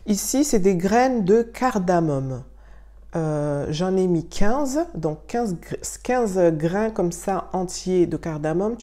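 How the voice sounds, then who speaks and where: A young woman from Mayotte talks her viewers through a home recipe in a relaxed, friendly way. Her voice sits a little low and sounds soft and mellow, and she often pauses with small hesitations as she explains. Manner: friendly, addresses viewer directly, frequent "euh" pauses. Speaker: wellness channel host. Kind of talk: casual tutorial talking to camera.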